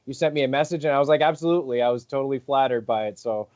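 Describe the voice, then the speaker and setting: Friendly wrestler, muffled lo-fi sound. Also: male voice, medium pitch, very fast, darkish tone. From Rhode Island. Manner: friendly, polite, laughs easily. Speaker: professional wrestler guest. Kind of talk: casual interview.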